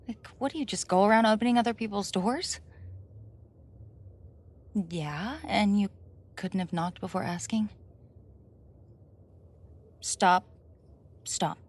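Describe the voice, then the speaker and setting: A woman's voice: mid-range voice, darkish tone. A woman acting a flustered, defensive young roommate caught off guard in an ASMR roleplay, stammering, indignant and evasive. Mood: panicked, indignant, then defensive, annoyed. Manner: stammering, indignant, evasive, sighs and nervous laughs.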